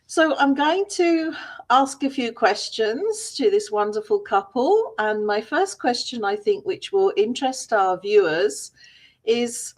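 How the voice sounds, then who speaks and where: A woman's voice: fairly high pitch, expressive, unhurried. A woman hosting a live-stream interview over a video call, effusive and welcoming with her guests. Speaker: interview host.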